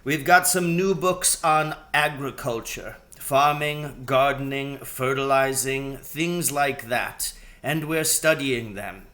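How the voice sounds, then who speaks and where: A man voicing a wise, courteous rat leader in a storybook, explaining things in an unhurried, deliberate way. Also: mid-range voice, sharp tone. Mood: calm, explanatory. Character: wise, courteous male rat leader telling his history.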